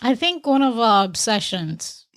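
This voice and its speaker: Female co-host, Trinidad-born, easygoing slow chat, dry close mic. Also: fairly high pitch, very animated, no echo. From Trinidad and Tobago. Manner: laid-back, denies teasing with short replies. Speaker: podcast co-host. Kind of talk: casual chat.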